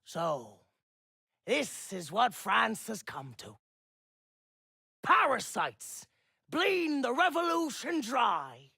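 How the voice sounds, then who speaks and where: A woman's voice: fairly high pitch, sing-song, slow, gravelly, long pauses, pushed and bright, slightly rough, dry recording, soft background music, heavily acted. A woman playing a bitter, theatrical character who declaims with scorn in a video game cutscene. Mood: scornful.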